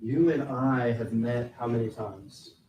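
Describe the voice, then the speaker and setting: Male lawyer, measured questioning, mellow tone, distant roomy courtroom sound. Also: mid-range voice, slight background noise. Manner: slow, deliberate questioning. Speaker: prosecutor. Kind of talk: courtroom direct examination. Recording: roomy courtroom audio from far off.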